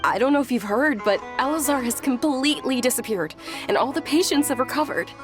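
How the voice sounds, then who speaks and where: A young noble lady from a video game. Her high voice is gentle and courteous, with lively, sincere inflection. Music is clearly heard behind her. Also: fairly quick. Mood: gentle, glad.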